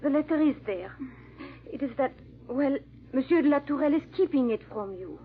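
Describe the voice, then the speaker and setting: A woman's voice: high-pitched, lively intonation, brisk. A woman playing a loyal, timid housemaid in a 1940s radio drama, deferential and hesitant toward her mistress. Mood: earnest, nervous. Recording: dull, muffled old radio recording.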